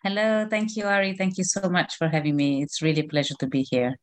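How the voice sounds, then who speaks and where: A woman's voice: low-pitched, level tone, fast talker. A middle-aged woman from Rome with an Italian accent, answering interview questions conversationally and searching for her words. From Rome, Italy. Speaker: interview guest, cookery writer.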